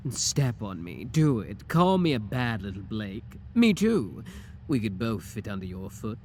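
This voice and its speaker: A man's voice: fairly low pitch, sing-song, a little slow, darkish tone, a little hiss or hum. A male voice actor playing a shameless, flirty tiny clone scientist in an ASMR audio roleplay, teasing and suggestive in a theatrical way. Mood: excited, lewd.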